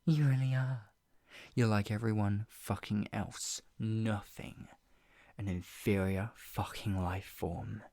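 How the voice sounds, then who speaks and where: A woman playing a menacing, obsessive yandere captor in an ASMR roleplay, husky and taunting, swinging between mock pity and threat, often dropping into a breathy whisper. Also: extremely low pitch, steady tone, slow pace, mellow, exaggerated voice. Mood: scornful. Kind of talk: ASMR roleplay, improvised monologue.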